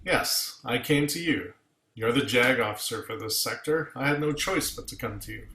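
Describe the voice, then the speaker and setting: A man's voice: medium pitch, moderate pace, sharp tone, echoey room. A man playing a principled, indignant starship captain in a science fiction table read, speaking earnestly in emphatic phrases. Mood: tense, reluctant. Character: principled, indignant male starship captain defending his officer.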